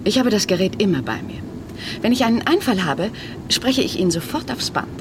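Woman playing an arch, animated lady crime novelist. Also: mid-range voice, moderate pace, background noise, natural voice. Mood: arch, proud. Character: self-assured female crime novelist, brisk, slightly vain. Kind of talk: comic radio crime play acting.

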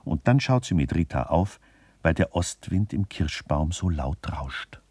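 A man's voice: very deep voice, expressive, a little slow, a little mellow, breathy edge, dry recording. A man reading literary scripted narration for a radio play.

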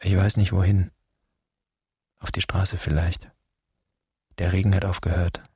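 An actor playing a brooding, wounded grown son in a poetic radio play, speaking in a measured, solemn, introspective way. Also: male voice, deep voice, level tone, mellow, slightly rough, dry recording, studio quality, heavily acted. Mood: wistful. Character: brooding, wounded grown son addressing his father's generation.

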